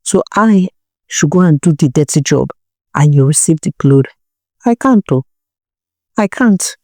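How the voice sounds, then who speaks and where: A woman's voice: low voice, expressive, unhurried, dark tone, no echo, studio quality, heavily acted. A woman storyteller voicing a worldly, scornful young Nigerian woman who lectures bluntly in a folktale. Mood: indignant. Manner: blunt, lecturing, rhetorical questions. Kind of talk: storybook character dialogue.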